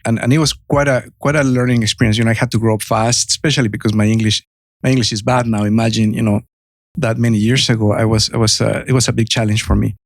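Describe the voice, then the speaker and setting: A man's voice: medium pitch, fairly even intonation, fast talker, gravelly, no room sound. A man in his fifties from Mexico with a Spanish accent, telling his story as a guest in a podcast interview, earnest and full of restarts. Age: 50s. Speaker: guest entrepreneur.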